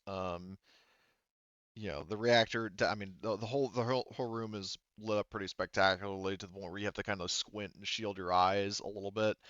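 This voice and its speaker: A man narrating a tabletop roleplaying game as game master, explaining things in a halting, wordy way. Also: fairly low pitch, a bit bright, no room sound, dull audio.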